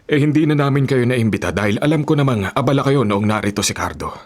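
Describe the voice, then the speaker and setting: A man's voice: mid-range voice, lively intonation, fast, close to an everyday voice. A man playing an apologetic, hard-up older brother in a hurry, in a Filipino radio drama. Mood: sheepish, hurried.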